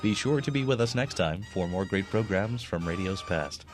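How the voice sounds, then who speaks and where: A man's voice: low voice, expressive, moderate pace. A man presenting as a radio program host in a scripted announcing style. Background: music.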